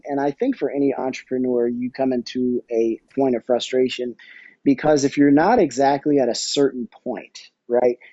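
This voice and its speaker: A man speaking as a podcast guest and entrepreneur, reflective and measured, pausing often as he explains things in an interview. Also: mid-range voice, mellow, breathy edge, no echo. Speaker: podcast guest, company founder. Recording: dull, slightly lo-fi audio.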